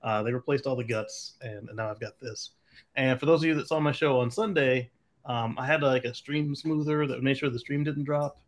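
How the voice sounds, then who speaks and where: A man co-hosting a casual podcast, relaxed and joking, laughing easily. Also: mid-range voice, a little fast. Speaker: podcast co-host. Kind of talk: casual chat.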